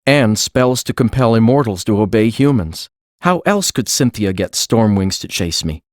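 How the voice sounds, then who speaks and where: A man's voice: lowish voice, animated, moderate pace, no echo, studio quality, lightly acted. A man playing a serious, learned mage in a fantasy audiobook, explaining things in a matter-of-fact way. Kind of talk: full-cast audiobook acting.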